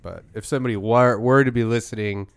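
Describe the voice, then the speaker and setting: A man's voice: medium pitch, steady tone, fast talker, clear, resonant tone, dry recording. A young man co-hosting a podcast, riffing on crude absurd jokes in casual chat. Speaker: podcast co-host.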